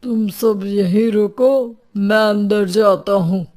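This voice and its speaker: Woman voicing a slow-speaking, sceptical young man. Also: medium pitch, darkish tone, close to an everyday voice. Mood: confident. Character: sceptical, level-headed young man calming frightened neighbours.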